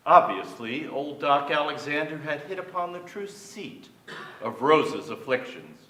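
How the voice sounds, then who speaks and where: Male stage reader, forceful tone, mid pitch, projected from a distance. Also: lively intonation, measured pace. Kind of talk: dramatic staged memoir reading. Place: on stage in a theater with an audience. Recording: echoey theater, distant microphone.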